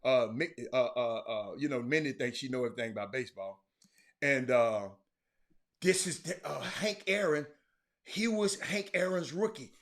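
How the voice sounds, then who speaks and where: Bright, forceful American man talking sports. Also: mid-range voice, animated, normal speed. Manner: folksy, repeats words and phrases for emphasis. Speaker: sports talk show host. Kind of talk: casual livestream sports commentary, chatting with viewers.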